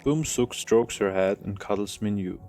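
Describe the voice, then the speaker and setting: A man's voice: fairly low pitch, moderate pace, a little mellow, faint music behind. A man narrating an audio drama from a script, telling a story in the present tense.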